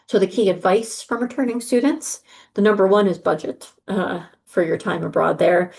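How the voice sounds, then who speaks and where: A woman's voice: lowish voice, gravelly, a little mellow. A middle-aged woman, an advisor giving an earnest, reassuring briefing to students over a video call, with frequent ums and uhs. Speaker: study abroad advisor.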